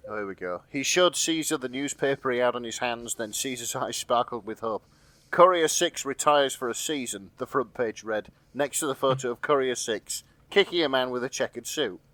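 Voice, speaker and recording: A man's voice: mid-range voice, moderate pace, a bit bright, dry recording. A man co-hosting a podcast, chatting casually and reading fan fiction aloud in a jokey, sweary way. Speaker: podcast co-host.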